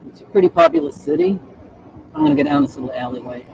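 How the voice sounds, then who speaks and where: A man's voice: fairly high pitch, huge pitch swings, quick pace, gravelly. A man with a Boston accent, chatting casually while driving a live city tour from his car, with the window down. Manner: easygoing chatty narration, upbeat asides. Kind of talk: live-stream driving chat. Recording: muffled live-stream audio, loud street noise.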